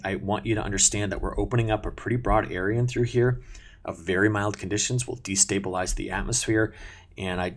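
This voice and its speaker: A man's voice: low-pitched, vocal fry. A man explaining a weather forecast over maps in a conversational, unscripted way, as a forecaster walking viewers through the details. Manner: conversational, unscripted, pointing things out.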